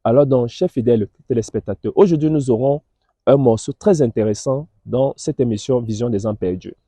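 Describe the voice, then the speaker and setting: A man presents a religious television programme in a very dark, mellow voice. He speaks to viewers in a solemn, preaching style, deliberate and emphatic. The sound is close and dry, with little room around it. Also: mid-range voice, no echo.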